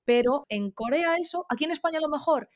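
A woman in her thirties from Spain talks fast in a loose, playful chat. She speaks with a Spanish accent and sits a bit above the usual pitch, tossing in quick asides. Her rhythm stays brisk and lively. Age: 30s. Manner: chatty, playful, quick asides and mock voices. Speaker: podcast co-host. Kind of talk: casual chat.

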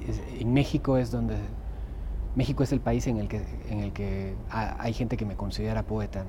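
Man, from Guatemala, interview guest, thoughtful, stops to find his words, background noise throughout. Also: fairly low pitch. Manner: thoughtful, repeats words while searching for them. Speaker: interview guest, mathematician and poet. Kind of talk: casual conversation. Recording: voice over clear background noise.

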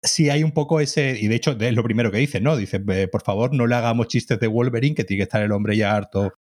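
A man's voice: medium pitch, brisk, darkish tone. A man co-hosting a podcast, chatting casually about a cartoon and hesitating often. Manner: hesitant, many fillers and restarts, agreeing often. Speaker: podcast co-host. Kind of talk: casual review chat.

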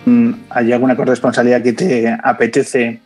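A man hosts an interview program and asks long, measured questions at an even middle pitch, pausing on small fillers as he shapes each one. Soft music plays faintly behind his voice. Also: low-quality recording. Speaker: radio program host, interviewer.

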